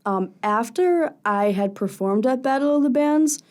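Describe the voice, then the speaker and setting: A young woman with a low, raspy voice, a singer being interviewed on a radio show and speaking earnestly and candidly at length. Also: expressive, measured pace, a little mellow. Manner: earnest, candid, talks in long thoughtful runs. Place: radio studio.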